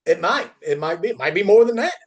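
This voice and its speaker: A man with a somewhat high voice hosts an unscripted business podcast. He repeats the starts of his phrases as he works toward a point, then lands it with emphasis, often answering his own questions. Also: expressive, quick pace. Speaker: podcast host and freight industry commentator. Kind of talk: unscripted industry discussion.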